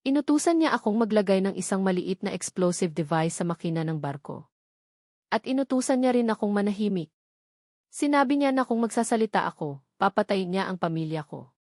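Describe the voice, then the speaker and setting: A woman's voice: medium pitch, expressive, brisk, darkish tone, no room sound, studio quality. A woman with a Filipino accent voicing a frightened, deferential provincial man in a narrated story. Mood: fearful confession. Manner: fearful, deferential. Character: frightened provincial man confessing under threat.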